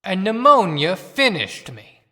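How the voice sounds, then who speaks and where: A man's voice: sits high, big pitch swings, measured pace, bright, forceful tone, exaggerated voice. A man playing a good-hearted but disgraced dead small-town doctor in a declamatory audio-play monologue. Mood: aggrieved. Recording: long cavernous reverb.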